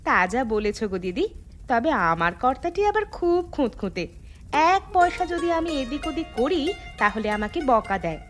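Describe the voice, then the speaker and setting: A woman's voice: high voice, sing-song, moderate pace, soft background music. A woman voicing an eager, nosy village housewife in a cartoon, chatty and gushing. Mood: playful complaining. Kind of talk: animated cartoon dialogue.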